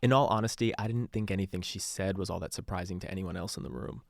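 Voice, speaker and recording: Male investigative radio narrator, very low register, intimate dry sound. Sober, reflective, matter-of-fact. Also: fast, creaky, no room sound. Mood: reflective, wry. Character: sober male radio journalist recounting a strange island mystery. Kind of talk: scripted first-person documentary narration.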